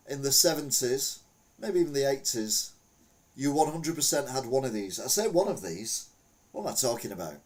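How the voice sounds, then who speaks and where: A British man in the host's chair, reading oddball news stories and cracking wry jokes between them. He rambles, restarts and fills gaps with "uh", at a middling pitch, sitting a little back from the mic. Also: darkish tone, distant mic. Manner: wry, jokey, rambling with many fillers. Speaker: podcast host. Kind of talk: casual news commentary.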